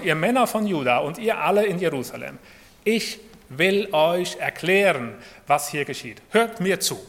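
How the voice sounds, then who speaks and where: A man's voice: fairly high pitch, a bit bright. A man preaching a sermon in in a conversational way, asking his listeners rhetorical questions. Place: church hall. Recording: long reverb of a hall.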